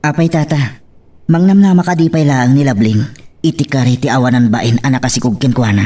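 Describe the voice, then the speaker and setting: A man's voice: mid-range voice, fairly quick, warm tone, far from the mic, natural voice. A man acting a bitter, grieving family man with an Ilocano accent in an audio drama. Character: bitter, grieving grandfather raising his grandchild.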